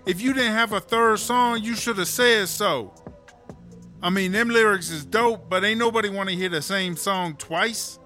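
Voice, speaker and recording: A man's voice: high-pitched, moderate pace, pushed and bright, faint music behind. Man playing a brash big sassy woman rapper. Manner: sassy slangy swagger. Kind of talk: comedy audio drama with rap verses.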